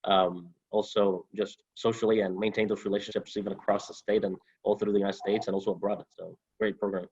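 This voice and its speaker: A young man from Honduras, a former student, answering interview questions over a video call in a halting way with many fillers. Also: on the low side, flat delivery, a little mellow, breathy edge, muffled recording. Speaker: alumnus guest.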